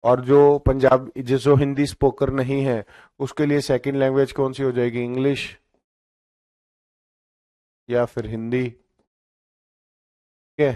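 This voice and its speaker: A man's voice: medium pitch, little pitch movement, slow, long pauses, dark tone, no echo. Guy teaching exam prep, mid voice, dry close sound, keeps checking in with his students. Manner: explanatory, frequent "theek hai" checks.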